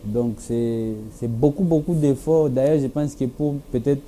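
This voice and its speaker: A man's voice: mid-range voice, measured pace, warm tone, a little hiss or hum. A young man, a Swahili-speaking musician with a Congolese French accent, answering interview questions hesitantly with many fillers. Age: young adult. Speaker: musician guest. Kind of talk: television interview.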